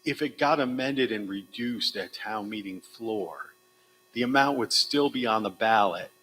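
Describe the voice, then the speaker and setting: A man's voice: on the low side, unhurried, a bit bright, slight background noise, poor audio. A man at a public town meeting, carefully asking clarifying questions and thinking aloud about the procedure. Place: school meeting room.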